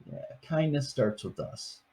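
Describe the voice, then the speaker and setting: A man's voice: mid-range voice, lively intonation, measured pace, warm tone, breathy edge, rough recording. An older man, a grandfather, reading a picture book aloud to his grandchildren and chatting about each page. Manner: chatty, explains each page in plain words.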